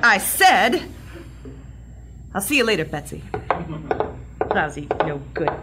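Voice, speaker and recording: An actress playing a sassy, protective coworker in a comedic audio drama, wry, teasing and blunt. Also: female voice, medium pitch, big pitch swings, takes it slowly, background noise. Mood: indignant. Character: sassy, protective woman coworker, quick to threaten.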